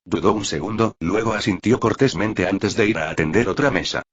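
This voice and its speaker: Male narrator for prose fiction: deep register, husky edge, slightly muffled lo-fi sound. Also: brisk. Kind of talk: scripted audiobook narration of fan fiction.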